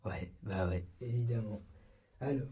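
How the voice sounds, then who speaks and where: A young man playing a cheeky, laid-back ex-con turned rookie barber in a comedy ASMR roleplay, soft-spoken and breathy, with slangy, hesitant talk. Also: fairly low pitch, measured pace. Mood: cheeky, salesy. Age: young adult. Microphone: close binaural microphone.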